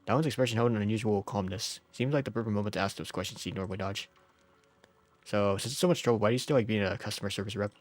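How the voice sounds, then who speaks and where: A man's voice: lowish voice, rapid-fire, dry recording. A man who is under the weather, streaming a game with informal, jokey commentary and reading out story text. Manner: informal, jokey, often chuckling. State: sick.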